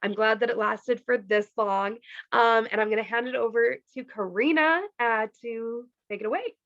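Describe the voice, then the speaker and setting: A fairly high-voiced woman from Canada talks her team through a pay plan on a video call. Her voice is wearing thin and a little hoarse, and she keeps dropping in "like" and "okay". The audio is dry and muffled. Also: normal speed, fairly bright tone, no echo. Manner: chatty, peppered with "like" and "okay". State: losing her voice. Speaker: team leader presenting a compensation plan. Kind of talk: casual presentation on a video call.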